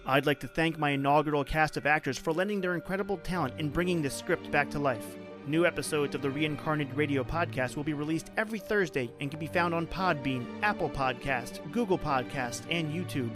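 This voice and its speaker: A male podcast host reads a scripted outro in a lively, announcer-like tone, his voice fairly high. Music plays clearly behind him. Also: brisk. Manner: upbeat, announcer-like.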